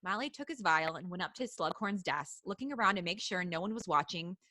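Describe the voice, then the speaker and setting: A woman co-host reads a fan story aloud on a live podcast, pausing for laughing asides and chatter with her co-hosts. Her voice is bright and a little tense, recorded dry and close with no room sound. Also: lowish voice, animated, no echo. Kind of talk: live podcast reading and casual chat.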